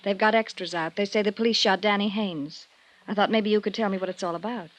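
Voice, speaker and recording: An American actress playing a cold, defiant femme fatale widow in a 1950s radio drama, haughty and guarded. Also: female voice, medium pitch, steady tone, fast, close to an everyday voice. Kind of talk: 1950s radio noir acting. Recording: faintly noisy vintage radio recording.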